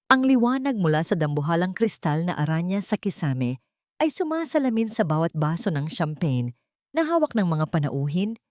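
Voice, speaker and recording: A woman's voice: lowish voice, darkish tone, no room sound, clean studio sound. A woman reading a scripted, dramatic love story as a storyteller.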